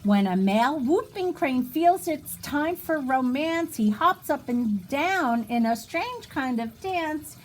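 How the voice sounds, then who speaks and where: A woman with a high voice reads a rhyming children's book aloud. Her pitch swings widely in a sing-song delivery, and she takes her time, adding small asides for her young listeners. A light ambient noise sits behind her. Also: expressive, slow pace, few pauses. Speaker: children's storybook reader.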